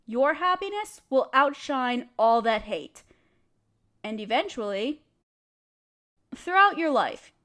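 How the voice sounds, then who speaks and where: High-pitched woman giving gentle reassurance. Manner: reassuring, encouraging, addresses listener directly. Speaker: audio creator speaking as a supportive friend. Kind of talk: comforting scripted monologue to the listener.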